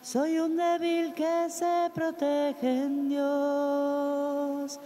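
A woman sings a hymn very slowly in a high, mellow voice. Her voice rings through a large church with long reverb, with soft accompaniment behind her. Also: completely flat delivery, soft background music. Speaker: church singer. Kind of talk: slow hymn singing.

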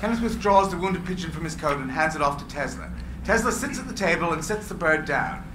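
A man reading the stage directions aloud at a live script reading, evenly and clearly. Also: a little high, lively intonation, fairly quick. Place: small room with seated audience. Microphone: far camera. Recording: echoey room with faint hiss.

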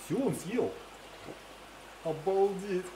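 A man's voice: high voice, expressive, slow. A man chatting casually in slangy, exclamatory reactions while visiting an indoor room of fish tanks. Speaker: companion on an aquarium visit. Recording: echoey, noisy room with running water.

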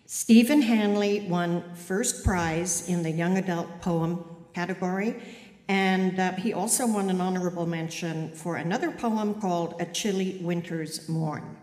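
A woman's voice: lowish voice, unhurried, a little mellow. A middle-aged woman hosting a live event, making announcements from a podium. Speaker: event host introducing readers. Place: indoor auditorium at a podium. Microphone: gooseneck podium microphone. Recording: echoey auditorium with long reverb.

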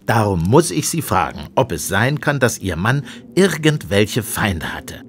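A blunt, low-voiced police inspector. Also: male voice, big pitch swings, a little slow, slight background noise. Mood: formal, probing. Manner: formal, direct. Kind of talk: radio play acting.

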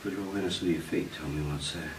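A middle-aged man working as a hypnotist, giving directive suggestions and questions in short, deliberate phrases. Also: low voice, steady tone. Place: meeting room with glass wall, indoors. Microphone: distant camera mic. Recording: echoey room, distant mic, clear background noise.

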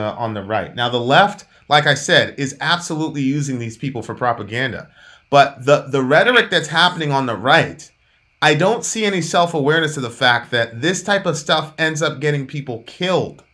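A man in his thirties, originally from Jamaica, co-hosting and arguing politics heatedly over a video call from a dark room at home, talking emphatically and gesturing. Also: a little high. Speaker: co-host.

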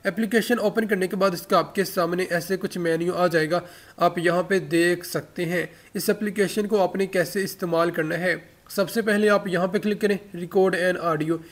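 A man's voice: high-pitched, steady tone, moderate pace. A man hosting a tech tutorial, explaining an app step by step and addressing viewers directly in an instructional way.

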